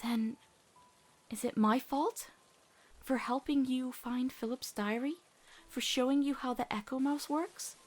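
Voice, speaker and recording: A woman voicing a gentle, steadfast teenage witch girl, speaking in a measured, reassuring way in a dramatic comic dub. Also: high-pitched, fairly even intonation. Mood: pointed, firm.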